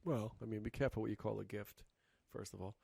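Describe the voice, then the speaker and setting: Man, podcast co-host, gravelly low voice, husky, halting with restarts, dry close mic. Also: fairly even intonation, mellow, dry recording. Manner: halting, many restarts and fillers. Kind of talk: casual podcast chat.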